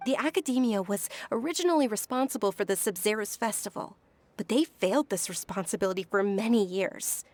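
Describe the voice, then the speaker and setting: An actress playing a selfless, gentle young noblewoman in a video game, with a soft, breathy, reassuring voice. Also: mid-range voice, brisk, dry recording, faint music behind, natural voice. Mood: resigned. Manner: reassuring, wistful.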